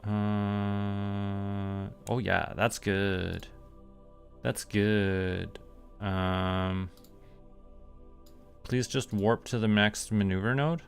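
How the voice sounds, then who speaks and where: Low, gravelly Canadian man streaming. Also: level tone, extremely slow, pauses often, soft background music. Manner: thinking aloud, talking himself through steps. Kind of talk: casual gameplay commentary.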